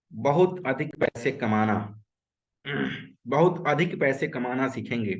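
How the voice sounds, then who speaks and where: Male webinar instructor from Uttar Pradesh on a video call, fairly high voice, earnest and repetitive. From Uttar Pradesh, India. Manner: earnest and repetitive, mixes English words. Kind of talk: online webinar lecture.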